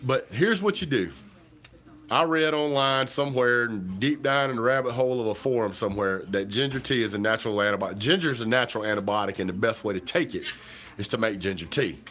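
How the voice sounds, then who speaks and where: A man, chatting casually to viewers on a livestream while stuffy and hoarse from a cold. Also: mid-range voice, fairly quick, a bit bright. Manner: rambling, folksy, talks to viewers directly. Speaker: livestream host. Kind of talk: casual livestream chat about football. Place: home kitchen.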